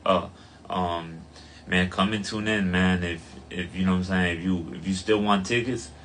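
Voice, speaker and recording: A man's voice: low voice, steady tone, moderate pace, a bit bright. A young man, a boxer, giving laid-back interview answers full of "you know what I'm saying". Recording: faint ambient noise.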